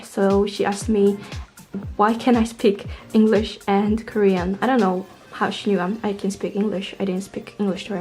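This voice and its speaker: Young woman from poland vlogging, english, chatty and informal, relaxed mellow voice, bit of music behind. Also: mid-range voice. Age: young adult. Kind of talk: casual storytelling to camera.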